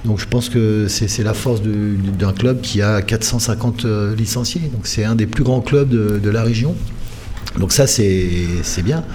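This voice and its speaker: A middle-aged man gives conversational interview answers. His voice is low and very mellow, and he often hesitates as he hunts for the next word. A little noise sits behind him. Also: measured pace. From Paris region, France. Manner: hesitant, searching for words. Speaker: handball coach being interviewed. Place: radio studio.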